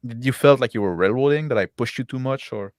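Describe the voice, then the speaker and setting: A man leading a relaxed debrief as game master, speaking casually and often searching for his words. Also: medium pitch, fast, no echo, studio quality. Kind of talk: casual post-game chat.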